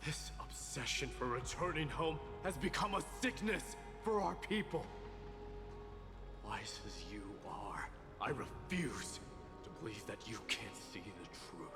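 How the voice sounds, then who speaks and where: In long reverb over music, a proud, vengeful young prince of a fantasy game. His delivery is slow and theatrical, swinging widely from gravel to raised, defiant cries. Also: mid-range voice, animated. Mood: grave. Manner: theatrical, emphatic, deliberate. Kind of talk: dramatic video game acting.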